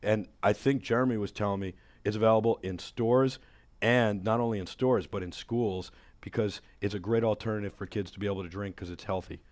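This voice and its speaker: A man in his thirties hosting a talk show in a studio, hoarse from a cold, talking with upbeat energy into a headset mic. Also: on the low side, brisk. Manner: energetic, enthusiastic promo patter. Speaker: host. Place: studio with city backdrop.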